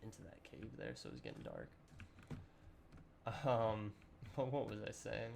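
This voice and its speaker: A young man with a slightly raspy, sore voice, chatting casually and rambling through a let's-play with lots of ums. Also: lowish voice, measured pace, noisy background. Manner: relaxed, rambling with many ums and throat clearing. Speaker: gaming channel host.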